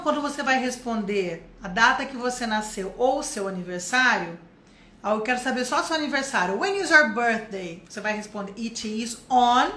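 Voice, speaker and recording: A Brazilian woman teaches English on a live stream, lively and encouraging as she goes through each step. Her voice sits high, and the room gives it a clear echo. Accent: Brazilian Portuguese. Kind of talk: live online English lesson.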